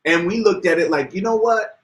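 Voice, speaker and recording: A man co-hosting a casual podcast livestream, reading out viewer comments and getting animated as he talks. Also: a little fast. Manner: animated, rises in pitch when worked up. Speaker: podcast co-host.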